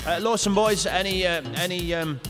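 A man's voice: high-pitched, normal speed. A man hosting a live breakfast radio show, talking in upbeat banter. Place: on stage in a hall before a live audience. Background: music bed.

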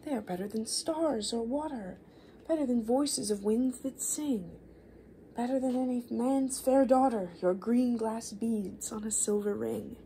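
A covetous storybook goblin, read by a woman, pleads and yearns in a soft, breathy voice. The demands are insistent, over a faint room hiss. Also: fairly high pitch, a little slow, poor audio. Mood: yearning. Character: pleading, covetous goblin howling for glass beads.

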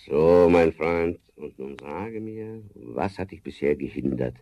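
An actor playing a sly, domineering forest giant who slowly coaxes and sneers in a fairy-tale radio play. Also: male voice, rumbling low, muffled recording, exaggerated voice. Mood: sly persuasion. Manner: slow, coaxing, sneering laughter.

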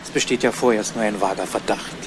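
An actor playing a polite but persistent police investigator, probing in a casual, hesitant way in a 1970s German crime radio play. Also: male voice, fairly low pitch, fairly even intonation, quick pace, close to an everyday voice. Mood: matter-of-fact. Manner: hesitant, casual probing with ums and trailing questions. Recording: noisy old radio play recording.

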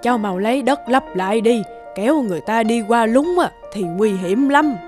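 A woman voicing a kindly, worrying old village grandmother who gently chides. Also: a little high, expressive, moderate pace, faint music behind, lightly acted. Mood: worried. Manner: fretful, gently chiding. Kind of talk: animated folk tale dubbing.

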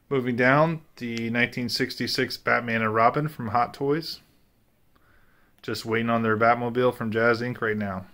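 Guy with a fairly low voice narrating a tour of his collection room, casual, plain, says uh a lot. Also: fairly bright tone. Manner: plain, matter-of-fact, with frequent "uh" fillers.

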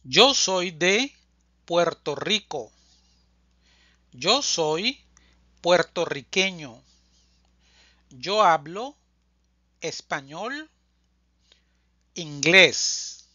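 A language teacher reading a scripted drill slowly, enunciating deliberately phrase by phrase, as a close voice-over. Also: mid-range voice, animated, sharp tone, no echo, lo-fi sound. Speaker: Spanish teacher.

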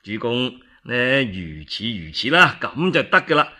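A man voicing a calm, shrewd military strategist in Cantonese, measured and assured. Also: medium pitch, pitched below the performer's own voice, heavily acted. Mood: calm, reassuring.

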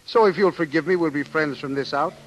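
An actor playing a boastful, smitten Irish sailor with lilting, flowery talk in a 1930s radio drama. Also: male voice, a little high, fast talker, close to an everyday voice, poor audio. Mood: tender, smitten. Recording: hissy 1930s radio broadcast.

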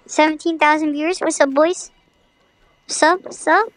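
Very high, goofy voice-changed streamer. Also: steady tone, unhurried, a bit bright, no room sound, low-quality recording. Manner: goofy, exclamatory. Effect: high-pitched voice changer. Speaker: game streamer. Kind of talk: gameplay livestream chatter.

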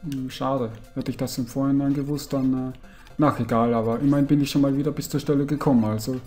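A man's voice: mid-range voice, fast, faint music behind. A man speaking with a mixed Carinthian and Viennese Austrian dialect, casually commenting on a retro game he is playing and chatting with a guest. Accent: Austrian, Carinthian and Viennese dialect mix. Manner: relaxed, grumbles and mutters at the game. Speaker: let's player.

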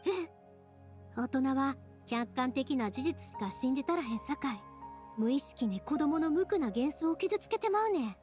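A woman voicing a warm, upbeat young big-sister figure in an anime game, reassuring and earnest, with a Kansai accent. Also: sits high, animated, moderate pace. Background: music.

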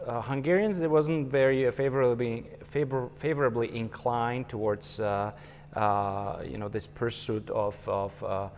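A man's voice: mid-range voice, steady tone, unhurried, a little mellow, long reverb tail, a little hiss or hum. A man in his forties from Slovakia with a Slovak accent, answering interview questions thoughtfully with many hesitations. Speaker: conductor, interview guest. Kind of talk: conversational interview. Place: empty hall with a stage.

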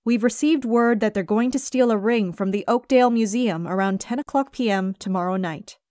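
A woman playing a stern, no-nonsense spy agency boss in an animated web series, firm and exasperated. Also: a little high, normal speed, no echo, studio quality, close to an everyday voice. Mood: businesslike. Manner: firm, exasperated, clipped orders.

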